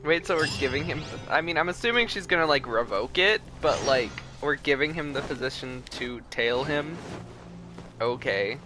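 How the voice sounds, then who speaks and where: A man's voice: mid-range voice, moderate pace. A man giving casual, informal livestream commentary on a game, musing aloud as he plays. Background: game music.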